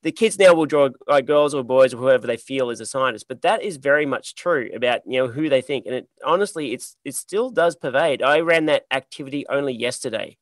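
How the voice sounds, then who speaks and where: A man hosting a podcast interview, talking in a lively, emphatic, conversational way. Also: mid-range voice, fairly quick, few pauses, no echo. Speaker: podcast host.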